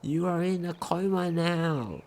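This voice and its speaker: A game commentator with a creaky, mid-pitched voice over faint noise. The delivery is casual and unhurried, like someone reading on-screen text aloud, with occasional stumbles. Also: darkish tone. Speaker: gaming video commentator. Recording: home recording with faint noise.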